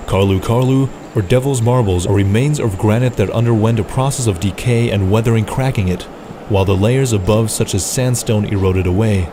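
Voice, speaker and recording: Low-voiced man narrating a documentary. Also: background noise. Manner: measured, informative. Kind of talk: scripted narration. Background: background music.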